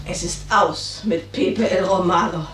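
An actress playing a bitter, jealous young daughter in a tragic radio drama, intense and impassioned. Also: female voice, on the low side, a little slow, a bit bright, a little hiss or hum. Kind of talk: German radio drama, theatrical tragic acting. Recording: echoey room, distant from microphone.

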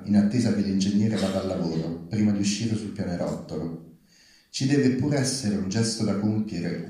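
A man's voice: low-pitched, dark tone. A man reading literary prose aloud at a live event. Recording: very echoey live hall.

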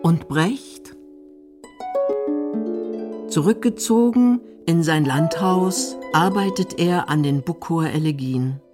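Low female voice, documentary narrator, measured, articulate, music behind. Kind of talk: scripted radio documentary narration.

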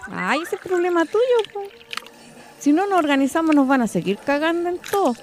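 A woman's voice: very high voice, very animated, moderate pace. A Chilean woman acting an insistent, earnest working-class wife and mother in a colloquial radio drama. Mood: determined. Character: determined working-class wife and mother, newly politically awakened.

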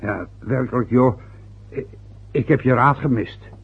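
A man's voice: medium pitch, measured pace, breathy edge. An actor playing a stubborn, wounded older father who lectures and grumbles with hesitations, in a vintage radio play. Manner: aggrieved, lecturing, rambling with hesitations. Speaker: father of a newly married son. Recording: dull lo-fi old radio recording.

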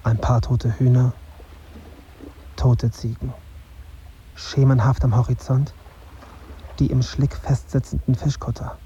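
A man's voice: medium pitch, little pitch movement, takes it slowly, pauses often, dark tone, close to an everyday voice. An actor playing a dazed, gloomy young journalist, narrating his thoughts tersely and broodingly in a radio drama. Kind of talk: radio drama interior monologue. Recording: dull sound, faint ambient noise.

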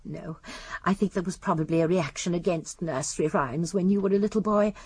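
An actress playing a very old, genteel English lady detective in a radio drama, politely deferential yet gently persistent with pointed questions. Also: lowish voice, fairly quick, breathy edge, dry recording. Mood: deferential, insistent. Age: older.

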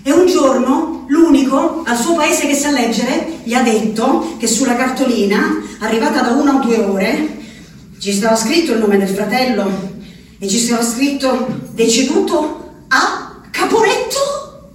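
An actress playing an anguished, pleading peasant wife shut in an asylum, in a halting theatrical monologue with a rustic Abruzzese accent. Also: female voice, high voice, animated. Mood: troubled, agitated. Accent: central-southern Italian, Abruzzese. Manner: rustic, halting, tagging phrases with "eh". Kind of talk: theatrical monologue, letter spoken aloud. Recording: roomy, distant stage sound, faint noise.

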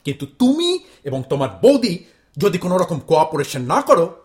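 A man acts out a pompous Bengali husband from a comic play, blustering and self-dramatizing. His voice sits high for a man and swings widely, declaiming like a speechmaker. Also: very animated, brisk. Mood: commanding. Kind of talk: comic stage-play acting.

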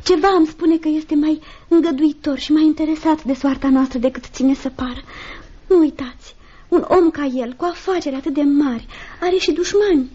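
A high, very mellow voice of an anxious mother played by an actress in a radio drama. She is gentle and trusting, pleading and soothing by turns. The recording is muffled. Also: lightly acted. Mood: fretful, hopeful. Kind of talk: Romanian radio theatre acting.

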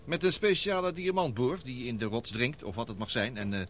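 An actor playing a superior but good-natured geologist in a Dutch radio play. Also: male voice, mid-range voice, big pitch swings, brisk, a bit bright, a little hiss or hum. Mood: amused, superior.

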